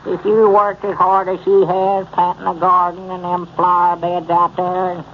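High-voiced, folksy old Southern storekeeper. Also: male voice, completely flat delivery, normal speed. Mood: evasive, earnest. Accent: rural Southern US. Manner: hesitant, folksy, rambling. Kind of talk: 1930s radio comedy acting. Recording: muffled, noisy 1930s radio recording.

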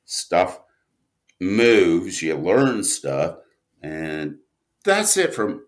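Male podcast guest, low register, slow-paced, lively swings in pitch, rambling anecdotal delivery. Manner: animated, rambling storyteller, self-interrupting. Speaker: guest, audio drama writer and producer. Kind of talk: casual podcast interview talk.

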